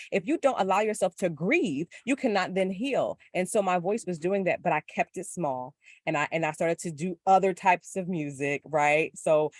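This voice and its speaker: Woman guest, low gritty voice, animated rambling chat, dry close sound. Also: dry recording. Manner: reflective, animated, lots of filler words. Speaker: podcast guest. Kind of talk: casual spiritual chat.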